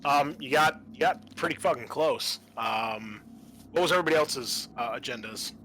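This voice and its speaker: A man's voice: mid-range voice, normal speed, pushed and bright, a little hiss or hum. A man acting as game master, narrating a tabletop roleplaying game in casual, sweary language.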